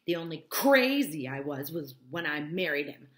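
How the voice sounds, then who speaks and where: A woman's voice: sits low, big pitch swings, normal speed, fairly bright tone. A woman voicing a brash, scornful married woman in a novel read-aloud, with an emphatic, indignant way of talking. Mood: indignant, aggrieved. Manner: emphatic, incredulous, declaring.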